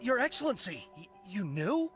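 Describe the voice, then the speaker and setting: An actor playing an earnest, fervent young soldier in a video game, pleading and deferential. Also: male voice, high voice, big pitch swings, normal speed, fairly bright tone, soft background music. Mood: taken aback. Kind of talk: scripted video game voice acting.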